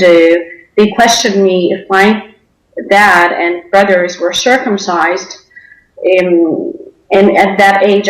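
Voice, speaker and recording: A young woman from Denmark giving personal testimony as an interview guest, speaking hesitantly, in a roomy, echoey sound. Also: mid-range voice.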